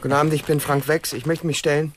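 An actor playing an agitated, over-polite fugitive killer who stammers and hesitates, in a radio drama. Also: male voice, a little high, flat delivery, fast, background noise, natural voice. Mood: nervous, resolute. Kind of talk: German radio drama acting.

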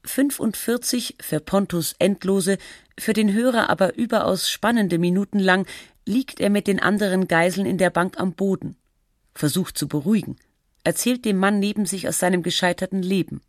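A documentary narrator reading a scripted feature in a measured, matter-of-fact, scholarly way. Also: medium pitch, no echo, studio quality, lightly acted.

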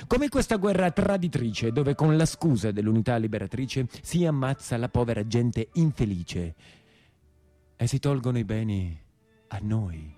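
A man's voice: medium pitch, lively intonation, warm tone, soft background music, lightly acted. Pompous rhyming priest acted by a man, widely swinging voice. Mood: solemn, preachy. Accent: southern Italian. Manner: solemn, declamatory, drawn-out. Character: pompous village priest, rhyming solemnly, greedy and threatening. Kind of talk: theatrical monologue in a radio play.

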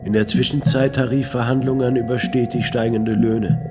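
A man's voice: mid-range voice, level tone, moderate pace. A man reading scripted literary narration in a declamatory way. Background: music.

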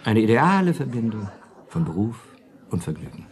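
An actor playing a sly, worldly drinking companion spinning schemes in a German radio play, wry and speculating. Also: male voice, fairly low pitch, big pitch swings, normal speed, crowd noise. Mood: sly, amused.

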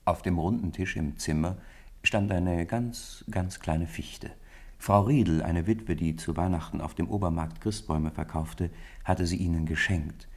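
A man narrating a radio play as a gentle, measured storyteller, with a gravelly, husky voice. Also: deep voice, heavily acted. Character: measured, gentle male storyteller of a Christmas tale.

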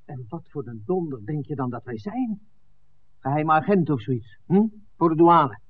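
An actor playing an earnest young documentary film-maker, tender and defiant, in a 1960s Dutch radio drama. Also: male voice, a little high, animated, normal speed, husky. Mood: indignant. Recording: narrow-band 1969 radio recording, faint hiss.

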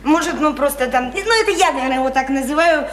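A woman's voice: sits high, fast talker, fairly bright tone. An actress playing a naive, odd, chatty young provincial woman in a radio play, rambling earnestly in simple folksy speech with hesitant fillers. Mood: flustered, shy. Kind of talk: theatrical radio play dialogue. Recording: echoey, noisy stage radio play recording.

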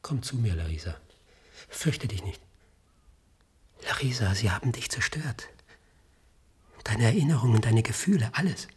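An actor playing an embittered, defiant scientist in a German radio drama, with a husky, breathy voice and a grave, intense delivery. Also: male voice, mid-range voice, expressive, normal speed, long pauses. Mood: anguished, tender.

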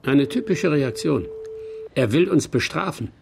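A man's voice: fairly high pitch, animated, unhurried, creaky, noisy background, heavily acted. Composed, clinical male doctor. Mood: clinical, detached. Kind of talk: German radio crime drama acting.

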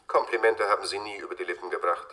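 An actor playing a suave, teasing doctor who is affably in control, in a German radio play. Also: male voice, sits low, fairly even intonation, fast, bright, forceful tone.

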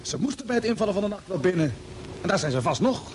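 An actor playing a brisk, decisive spaceship captain in a radio drama, issuing orders with urgency. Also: male voice, high-pitched, big pitch swings. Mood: concerned. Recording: old radio play, vehicle noise.

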